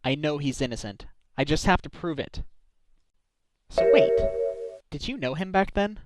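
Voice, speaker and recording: Man voicing a wry, steady defense lawyer. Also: a little high, moderate pace, over loud music, natural voice. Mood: calm. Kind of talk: fan dub voice acting.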